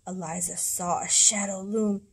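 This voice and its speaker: A woman in her thirties reading rhyming picture books aloud to children, with dramatic, deliberate storybook phrasing. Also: mid-range voice, steady tone, vocal fry, slightly rough. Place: indoors in a library room.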